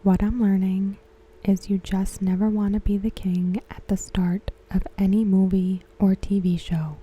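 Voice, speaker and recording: A woman giving ASMR commentary in a hushed, gentle, breathy, whispery voice. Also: medium pitch, little pitch movement. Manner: hushed, gentle, unhurried delivery. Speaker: ASMR content creator reviewing a TV episode. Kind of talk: ASMR soft-spoken commentary.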